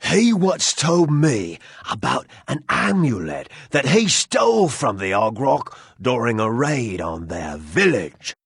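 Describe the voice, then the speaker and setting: An actor playing a drunken, heartbroken townsman in a video game, husky and self-pitying, rambling with slurred hesitations. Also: medium pitch, big pitch swings, slow pace, hardly any pauses, dry recording, clean studio sound, heavily acted. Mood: amused, rambling. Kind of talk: video game character acting.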